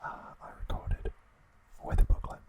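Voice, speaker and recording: A man hosting ASMR trivia, speaking softly in short, unhurried phrases with gentle pauses. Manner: unhurried, soft, pausing between short phrases. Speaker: ASMR host. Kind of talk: whispered ASMR trivia narration.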